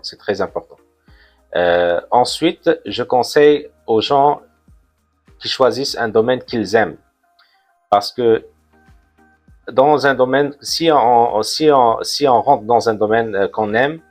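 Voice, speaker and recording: A man from Morocco in his forties, an immigration consultant answering interview questions in a measured way, often pausing with fillers. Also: on the low side, darkish tone. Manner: measured, many hesitations with "euh".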